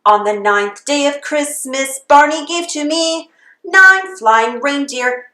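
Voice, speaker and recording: A woman with a high voice delivers a children's read-aloud in the manner of a Christmas carol. She takes it slowly and steadily. The room adds a clear echo around her voice. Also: expressive, bright, forceful tone. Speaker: storybook reader.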